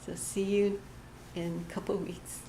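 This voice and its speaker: A woman giving a short inspirational church message, gentle and halting, often laughing at herself. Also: mid-range voice, level tone. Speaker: church speaker. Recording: echoey hall with faint room noise.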